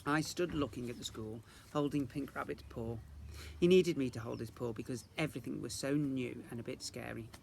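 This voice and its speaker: A man reading a children's story aloud in the expressive style of a teacher reading to young children. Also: medium pitch, a little fast, vocal fry, a little hiss or hum.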